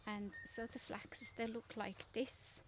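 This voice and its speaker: A woman's voice: fairly high pitch, fairly even intonation, slow pace, noisy background. Chatty Swiss-accented woman outdoors. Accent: Swiss German. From Switzerland. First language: Swiss German. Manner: chatty, informal, with frequent fillers and restarts. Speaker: vlogger, lifestyle property owner. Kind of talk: casual garden tour vlog. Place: outdoors in a garden.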